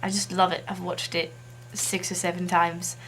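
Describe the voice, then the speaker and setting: A woman chatting casually to camera as a video blogger, halting as she tries out a put-on accent. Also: fairly low pitch, flat delivery, a bit bright, rough recording.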